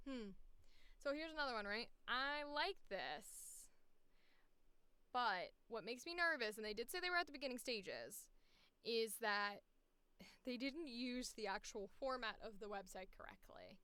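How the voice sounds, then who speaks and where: Woman, casual streamer, fairly high animated voice, clear with some creak, reading casting calls, musing aloud, dry close sound. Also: a little slow, pauses often, dry recording. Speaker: voice actor streaming auditions.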